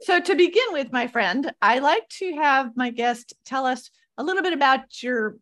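A woman hosts an interview show and greets her guest with emphatic, upbeat energy. Her voice sits a little on the higher side. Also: expressive, moderate pace, fairly bright tone. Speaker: host. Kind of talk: talk show hosting.

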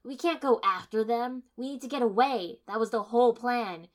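A woman voicing a brash, angry young male ninja who argues bluntly and loudly. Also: a little high, moderate pace, voice pushed up, lightly acted. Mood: exasperated. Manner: loud, blunt, exasperated shouting.

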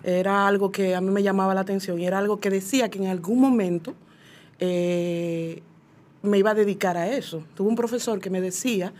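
A woman's voice: mid-range voice, fairly even intonation, fairly quick, a little mellow. A woman from the Dominican Republic with a Dominican accent, talking casually as an interview guest and repeating phrases for emphasis. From Puerto Plata, Dominican Republic. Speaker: guest, muralist and illustrator. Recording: faint background noise, ambient sound.

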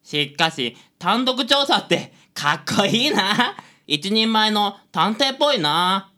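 Radio drama bumbler played by an actor: bright forceful voice, lively intonation, carefree, naive, pleased with themself. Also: medium pitch, takes it slowly, heavily acted. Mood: self-satisfied. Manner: breezy, naive. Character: carefree, self-satisfied bumbling novice detective.

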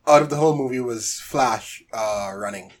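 A man's voice: medium pitch, normal speed. A man co-hosting a podcast, casually discussing a film and repeating phrases for emphasis. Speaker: podcast co-host.

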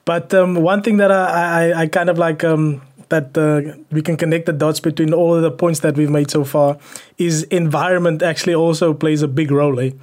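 A man's voice: fairly high pitch, steady tone, normal speed, darkish tone. A man from South Africa leading a casual chat, talking conversationally with frequent tag questions and fillers.

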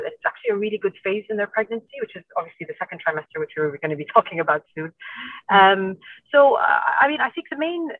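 A woman's voice: fairly low pitch, dry recording. A woman from Iraq talking as a doctor guest in a conversational interview, explaining things in a hedging way and often repeating phrases. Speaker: doctor, obstetrician and gynecologist guest. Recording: muffled phone-like call, distant from mic.

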